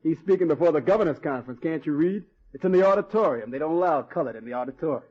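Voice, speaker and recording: An actor playing a bossy but good-hearted hotel head waiter with folksy, needling talk, in an old-time radio drama. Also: male voice, a little high, lively intonation, rapid-fire, breathy edge. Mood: teasing, scolding. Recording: muffled vintage radio recording.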